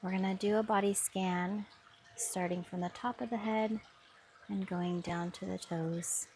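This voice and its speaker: Slow soothing woman guiding meditation. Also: medium pitch, steady tone, a little hiss or hum. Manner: slow, soft, soothing instructions. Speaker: meditation guide. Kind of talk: guided meditation.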